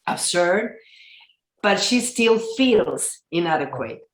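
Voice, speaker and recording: A woman's voice: on the low side, sing-song, slow, roomy sound, low-quality recording. A woman therapist, asking a question over a video call and searching for her words.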